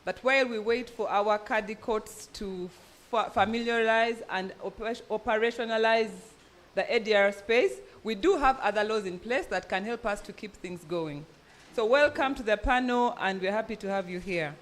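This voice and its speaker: A woman's voice: mid-range voice, normal speed. A woman with a Ugandan accent, formally introducing panelists as a conference moderator. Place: event venue, speaking live to an audience.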